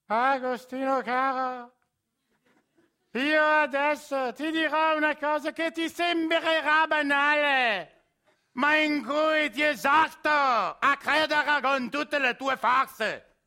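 An actor voicing a sanctimonious male parish priest in a radio play, with slow, tremulous preaching. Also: very high voice, steady tone, bright, forceful tone, voice pushed up, heavily acted. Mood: unctuous.

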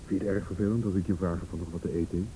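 A man's voice: steady tone, racing, mellow, lightly acted. An actor playing an easygoing, slightly grumbling male friend in a radio drama, with a casual, musing, hesitant delivery. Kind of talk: Dutch radio drama acting. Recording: rumbly, noisy old radio recording.

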